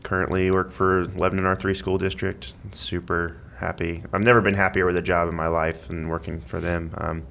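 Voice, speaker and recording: A man chatting casually as a podcast guest, in a halting, rambling way as he thinks aloud. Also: low voice, level tone, vocal fry, a bit bright, slight background noise. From Missouri, United States. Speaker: podcast guest, amateur athlete and school IT worker. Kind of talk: casual interview chat.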